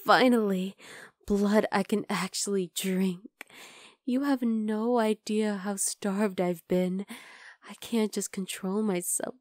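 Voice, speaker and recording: A woman playing a possessive, bloodthirsty vampire girl in an ASMR roleplay, talking intimately to the listener and slipping into breathy whispers. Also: medium pitch, steady tone, slow, no echo, studio quality, close to an everyday voice. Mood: hungry, intoxicated.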